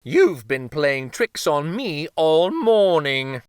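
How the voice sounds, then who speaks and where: A man's voice: on the high side, big pitch swings, slow, smooth, no room sound, clean studio sound, pitched above the performer's own voice. A man voicing a fussy, pampered king in a children's storybook, whiny and imperious, given to exclaiming. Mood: stern.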